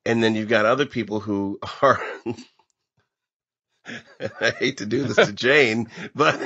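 A man's voice: medium pitch, slow, pauses often, bright, forceful tone, breathy edge, no room sound. A middle-aged American man hosting a casual panel discussion about old-time radio. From Pekin, United States. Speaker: podcast host. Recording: dull, lo-fi close recording.

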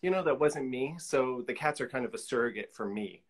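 A man's voice: mid-range voice, lively intonation, fairly quick, slightly rough. Casual man in his forties. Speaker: interview guest, artist. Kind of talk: casual chat about own work. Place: room at home, video call.

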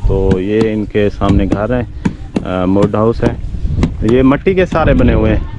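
A man's voice: lowish voice, unhurried, muffled recording, very noisy. A man from Pakistan hosting a village tour, asking the locals short, friendly questions while filming outdoors on a handheld camera. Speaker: vlog host and interviewer. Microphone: camera held by the speaker.

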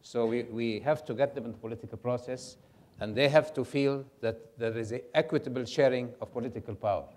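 Older man, panelist, halting, repeats words, middle-pitched voice. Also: darkish tone. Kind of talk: panel discussion.

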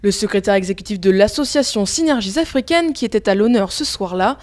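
A woman's voice: medium pitch, animated, fairly quick, darkish tone. A woman news reporter reading a scripted narration for a news report.

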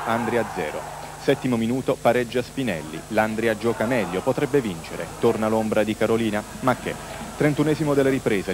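Noisy recording of a low-voiced male reporter. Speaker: sports reporter. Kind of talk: scripted football report narration. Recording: noisy old TV broadcast.

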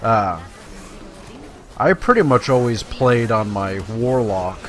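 A man's voice: fairly low pitch, expressive, slow pace. A man giving casual, folksy gameplay commentary, talking to his viewers. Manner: folksy, conversational, addresses viewers. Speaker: let's play host. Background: video game sounds.

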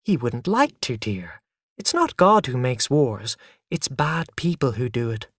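A man voicing a gentle but firm mother in a story, patient, hushed and chiding. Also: mid-range voice, very animated, measured pace, no room sound, studio quality.

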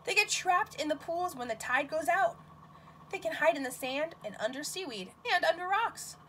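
A woman teaching nursery school, reading a picture book aloud to young children in an expressive, questioning manner, with exclamations. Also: sits high, normal speed, a little hiss or hum.

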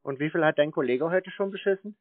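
A man's voice: on the high side, quick pace, dry recording. A man on a phone line talking in a stubborn, mock-naive, teasing way as he needles the other caller. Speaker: prank caller confronting phone scammers. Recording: narrow phone-line sound.

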